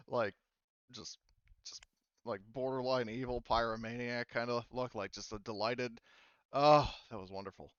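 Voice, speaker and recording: A man's voice: medium pitch, steady tone, a little slow, pauses often, clear, resonant tone, no echo, highs cut off. A man running a tabletop game as game master, rambling with many fillers and restarts.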